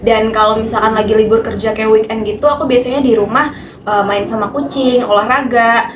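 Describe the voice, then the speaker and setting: A woman's voice: fairly high pitch, steady tone, a little fast, highs cut off, noisy background. A woman in her early twenties chatting casually as she answers interview questions. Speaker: interviewee in a group discussion. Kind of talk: casual chat. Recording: echoey room with people chattering behind.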